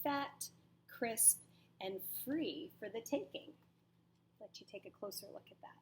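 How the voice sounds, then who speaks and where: A woman's voice: on the high side, expressive, slight background noise, poor audio. A woman teacher reading a storybook aloud to children expressively, with dramatic emphasis and asides to her listeners. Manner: dramatic pauses, emphatic, questions to young listeners.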